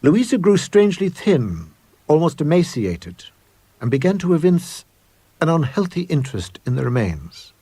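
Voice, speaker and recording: A haunted gentleman explorer acted by a male reader recounts his horror in a storybook ghost tale. The voice is husky, intense and deliberate, with a grave weight to each phrase. The recording is dry and close. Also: fairly high pitch, sing-song, no echo, voice pushed up. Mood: tense, uneasy. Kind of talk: dramatic scripted horror monologue.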